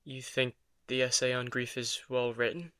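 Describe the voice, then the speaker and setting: A man's voice: mid-range voice, flat delivery, normal speed, pushed and bright, clean tone, no room sound, studio quality, close to an everyday voice. An actor playing an anxious, brooding male vampire writer in an intimate audio drama, with a hesitant, stammering delivery. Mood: weary, guarded.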